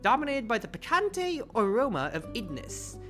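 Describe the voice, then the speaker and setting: A streamer commentating on a game and reading its text aloud in an expressive, theatrical, exclamatory way. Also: medium pitch, normal speed, faint music behind. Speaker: streamer, host.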